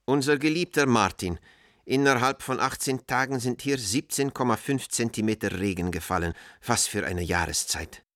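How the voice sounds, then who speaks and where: An actor playing an American art dealer reading out his letters in a radio drama, in a measured, formal way. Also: mid-range voice, fairly bright tone, no echo, studio quality, lightly acted. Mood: measured, pointed. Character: American art dealer writing coded letters to an old friend.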